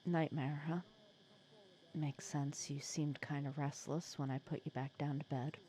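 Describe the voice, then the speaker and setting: Woman acting as a wry supervillain lady in an asmr roleplay, low-ish mellow voice, soft, teasing, protective. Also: little pitch movement, unhurried. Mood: soothing, concerned. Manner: soft-spoken, teasing, conversational with hesitations. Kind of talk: ASMR roleplay audio drama.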